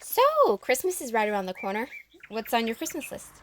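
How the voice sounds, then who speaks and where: A woman plays a friendly teenage girl in an amateur audio drama. Her voice is high and swings expressively as she chats with eager curiosity. Faint noise and clicks sit behind her. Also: huge pitch swings, a little fast. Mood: curious, friendly.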